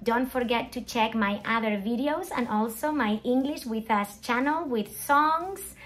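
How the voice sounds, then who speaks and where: A middle-aged woman presenting an English grammar lesson as a teacher, expressive and emphatic, with deliberate enunciation and rhetorical questions. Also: a little high. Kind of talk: animated scripted grammar lesson. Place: small indoor room at a whiteboard.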